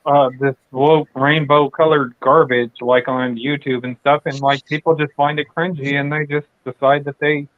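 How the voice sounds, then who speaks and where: A man's voice: a little high, flat delivery, normal speed, few pauses, no room sound. A man hosting a late-night casual chat, rambling and profane, with lots of fillers and restarts. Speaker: channel host. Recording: tinny dry phone-like audio.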